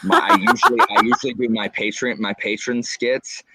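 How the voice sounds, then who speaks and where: A man in his late thirties chatting over a video call, with animated, incredulous joking rants. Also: medium pitch, fairly quick, breathy edge, no room sound. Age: 30s. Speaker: booktuber guest. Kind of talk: casual video-call chat. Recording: noisy video call audio.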